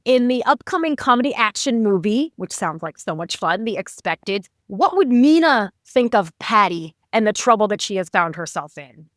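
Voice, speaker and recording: A woman's voice: mid-range voice, very animated, moderate pace, few pauses, fairly bright tone, no room sound, clean studio sound. A woman hosting a podcast, interviewing her guests in a conversational way. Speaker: podcast host.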